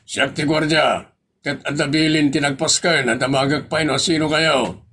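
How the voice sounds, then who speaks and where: A man's voice: a little high, steady tone, normal speed, fairly bright tone, a little hiss or hum, close to an everyday voice. A man with an Ilocano accent acting as a stern, officious gate guard in a comedy audio drama, speaking bluntly.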